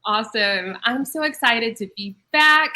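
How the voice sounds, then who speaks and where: A higher-pitched young woman with a bright, forceful tone, sounding slightly stuffy from a cold. She speaks as a wellness coach on a podcast, earnest and upbeat as she explains things. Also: lively intonation, measured pace. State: congested from a cold. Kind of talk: casual podcast talk.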